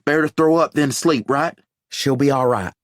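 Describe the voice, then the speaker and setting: A man voicing a sharp woman medic who gives urgent, swearing orders in a crisis. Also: medium pitch, normal speed, dry recording, clean studio sound, pitched above the performer's own voice, natural voice. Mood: calm.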